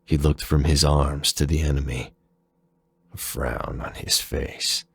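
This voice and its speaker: Male narrator for dramatic fan fiction: creaky, close dry sound, deliberate and measured. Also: rumbling low, little pitch movement, no echo.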